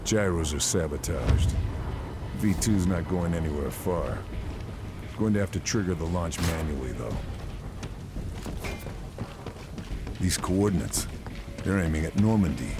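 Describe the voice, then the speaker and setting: An actor playing a grim, resolute Allied sniper, speaking tersely and gravely in a video game mission narration. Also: male voice, low-pitched, animated, normal speed, noisy background. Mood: tense.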